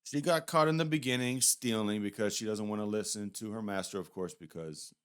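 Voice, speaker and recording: A man with a fairly low, smooth voice talks through a casual review. His delivery is conversational and unscripted, wandering from point to point and hedging as he goes. The tone is clean and resonant. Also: fairly quick. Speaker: YouTube reviewer.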